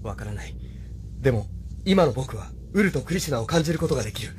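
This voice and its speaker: An actor playing an earnest, intense young mecha pilot hero in a Japanese radio drama, with dramatic delivery. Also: male voice, medium pitch, animated, quick pace, a little mellow, slight background noise, natural voice. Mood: grave resolve.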